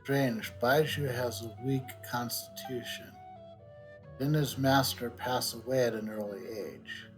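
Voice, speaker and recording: A man's voice: mid-range voice, fairly even intonation, a little slow, soft background music. Man casually commenting while playing. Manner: offhand, stumbling asides. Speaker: streamer playing the game. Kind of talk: casual commentary over gameplay.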